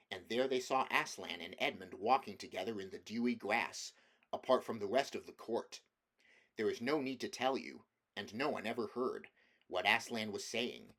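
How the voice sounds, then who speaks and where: A man's voice: on the low side, a bit bright. A man reading a children's fantasy novel aloud as scripted storybook narration. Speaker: amateur audiobook reader.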